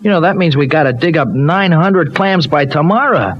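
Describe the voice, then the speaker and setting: A man's voice: on the high side, animated, moderate pace, close to an everyday voice. An actor playing an eager, streetwise but well-meaning young dairyman, with loose colloquial American speech in an old radio soap. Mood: doubtful. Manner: colloquial, folksy slang with loose grammar. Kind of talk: old-time radio soap opera acting. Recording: dull, noisy old radio recording.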